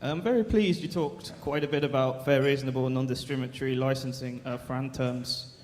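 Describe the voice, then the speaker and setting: A man's voice: mid-range voice, steady tone, fairly quick, darkish tone, slight background noise. A man in the audience asking a question from the floor, stumbling, repeating words and rephrasing. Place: large lecture hall. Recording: long hall reverb.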